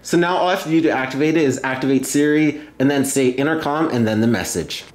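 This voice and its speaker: A man's voice: mid-range voice, fast talker. Man hosting tech tutorial, echoey room. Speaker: tech video host. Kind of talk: tutorial narration with hands-on demonstration. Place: indoor room at a table. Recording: roomy, echoey indoor sound.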